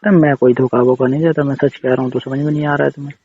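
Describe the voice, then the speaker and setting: A man's voice: mid-range voice, fairly even intonation, very fast, breathy edge. A man on a casual personal phone call, defensive and pleading as he repeats himself. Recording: dull, slightly muffled call recording.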